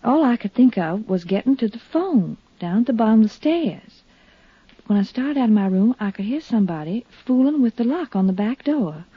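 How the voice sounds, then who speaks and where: A woman's voice: a little high, expressive, normal speed, breathy edge, no room sound. An American actress playing a brave, shaken young woman in a 1950s radio drama, speaking earnestly and dramatically. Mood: tense. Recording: dull, dry vintage radio recording.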